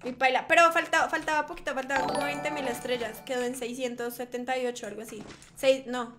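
A high-pitched young woman chats casually to her live-stream viewers through a headset, answering messages with easy affection. Music plays clearly underneath her voice in a small home streaming room. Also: fairly even intonation, fast talker. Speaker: game streamer. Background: background music.

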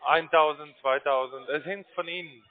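A man with a foreign accent making a phone sales pitch in formal, halting German with grammar slips. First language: not German. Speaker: call-centre salesman. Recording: tinny narrow phone line.

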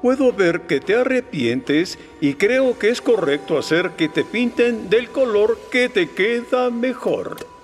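A man with a Latin American accent playing a stern but forgiving railway boss in a children's cartoon dub, measured and authoritative. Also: sits high, animated, soft background music.

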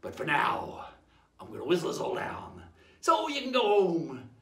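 A man's voice: sits high, wildly swooping pitch, normal speed. A man playing a jolly, boastful old pirate storyteller, rambling along in a folksy, theatrical way as he hosts a playful lesson. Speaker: host of pirate-themed lessons. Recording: roomy echo.

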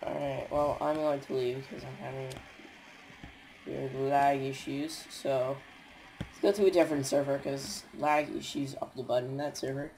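A young man with a raspy voice and a stuffy nose, rambling tiredly with many fillers as he comments on his gameplay. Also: medium pitch, level tone, normal speed, a little mellow, a little hiss or hum, poor audio. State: stuffy nose, sore throat.

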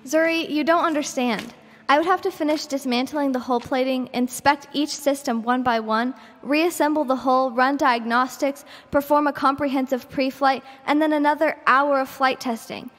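A woman's voice: high-pitched, fairly even intonation, normal speed, fairly bright tone, big-room sound. A woman in an audio drama playing a cautious, stubborn shuttle engineer, exacting and argumentative. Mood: firm, exasperated.

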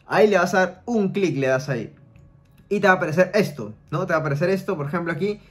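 A man's voice: sits high, fast. A young man hosting a casual screen-share tutorial, chatty and explaining each step with filler tags, recorded in a small room at home. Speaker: online money tutorial host.

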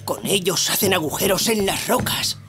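A woman's voice: fairly low pitch, moderate pace, natural voice. A woman voicing a playful, naive young male warrior sidekick in a cartoon, cheeky and exclamatory. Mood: excited. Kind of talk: animated cartoon dub. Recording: music behind the voice.

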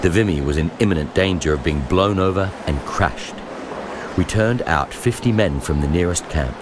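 Very deep-voiced man narrating a memoir. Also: animated, noisy background. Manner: measured, formal storytelling. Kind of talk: scripted audiobook-style narration of a historical journal.

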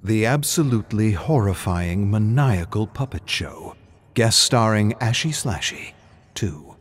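A man's voice: fairly low pitch, animated, unhurried, slight background noise. A man reading a scripted story aloud as a narrator, with theatrical, suspenseful delivery. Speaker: narrator reading game lore.

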